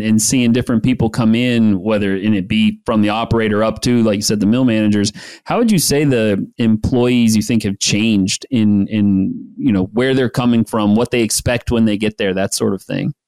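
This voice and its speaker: A man's voice: fairly low pitch, a little mellow, smooth, dry recording. A man hosting a podcast interview who asks long, rambling questions and repeats words as he goes. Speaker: podcast host.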